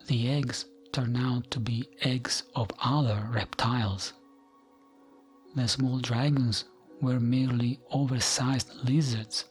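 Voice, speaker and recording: A man gives a scripted ASMR bedtime narration at a slow pace. His voice is soft, breathy and close to a whisper, with a mid-range pitch. The delivery is gentle and soothing, and music plays faintly behind him. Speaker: ASMR gaming narrator and channel host.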